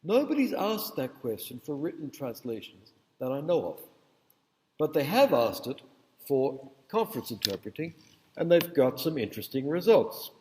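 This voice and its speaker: A man's voice: on the high side, big pitch swings, moderate pace, a little mellow, a little hiss or hum. Man lecturing in an echoey hall. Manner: conversational, digressive, checks in with the audience. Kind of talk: university lecture. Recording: echoey hall with long reverb.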